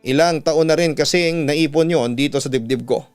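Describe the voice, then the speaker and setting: Smooth, higher-pitched Filipino man narrating a story. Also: moderate pace. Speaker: radio announcer and story narrator. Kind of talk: dramatic narration of a listener's letter in first person. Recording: music bed behind the voice. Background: background music.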